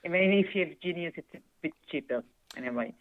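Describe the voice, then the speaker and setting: A woman's voice: lowish voice, quick pace. Israeli woman on a tinny phone line. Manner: polite, patient, helpful. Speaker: hotel receptionist. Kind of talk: phone call.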